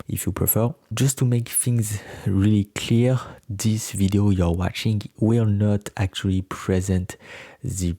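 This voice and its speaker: A man's voice: low voice, gravelly, dark tone, husky, no room sound. A man whose first language is French, speaking English with a French accent as host of his own channel, explaining things earnestly and neutrally with frequent fillers. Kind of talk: solo explainer to camera.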